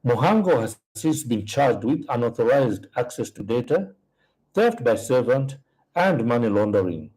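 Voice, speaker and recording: A man reads out a formal statement deliberately. His voice is dark and mellow with a husky grain, at an average pitch for a man. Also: very animated, poor audio. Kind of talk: reading a prepared official statement.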